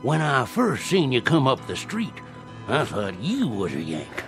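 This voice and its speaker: A man's voice: mid-range voice, huge pitch swings, creaky, music in the background, exaggerated voice. An actor playing an unruffled, folksy old townsman in a radio drama, wry, with a Southern US accent. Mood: calm, wry. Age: older. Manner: unhurried, wry.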